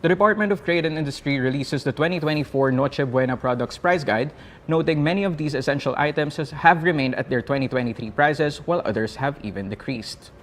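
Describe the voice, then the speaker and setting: A man with a Filipino accent presenting a scripted news roundup in a formal, measured way. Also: on the high side, a bit bright, slight background noise.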